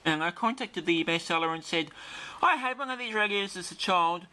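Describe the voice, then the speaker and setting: A man's voice: high voice, brisk, pushed and bright. A man giving an unscripted show-and-tell as a video presenter, explaining things carefully and in detail. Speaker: presenter of a vintage radio demonstration video. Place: indoors in a bright room.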